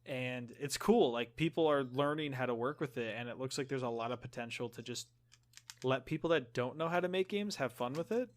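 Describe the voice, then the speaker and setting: A man's voice: medium pitch, brisk. Man chatting casually, rambling explainer. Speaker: podcast co-host. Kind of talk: casual gaming chat.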